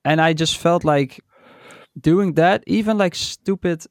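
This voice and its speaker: A man, a DJ, chatting in a relaxed, rambling way on a podcast. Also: a little high, unhurried, a little hiss or hum. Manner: relaxed, rambling, with fillers and laughs. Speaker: DJ and podcast co-host. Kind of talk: casual podcast chat.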